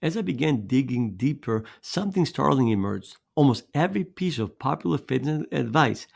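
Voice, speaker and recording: A man raised in Greece talks through a scripted fitness presentation in an earnest, persuasive way. His middle-pitched voice has a gravelly edge. Now and then he repeats a word or drops in a filler. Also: expressive, normal speed. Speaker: fitness coach presenting his weight loss method.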